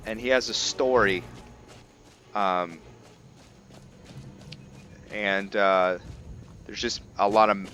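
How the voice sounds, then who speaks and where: A man giving casual, reflective commentary over a roleplay video game, thinking aloud in a halting way. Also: lowish voice, pushed and bright. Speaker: gaming host.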